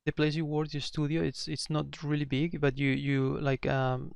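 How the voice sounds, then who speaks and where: A podcast host with a non-native English accent, interviewing casually in a halting way with repeated words. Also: medium pitch, flat delivery, vocal fry, darkish tone, clean tone, dry recording, studio quality. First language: not English.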